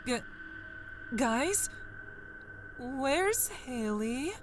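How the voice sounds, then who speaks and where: A woman's voice: high-pitched, big pitch swings, drawn-out words, slight background noise, full character voice. An actress playing an uneasy but sensible young woman in an audio horror drama, with a wry, anxious manner. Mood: worried, hesitant.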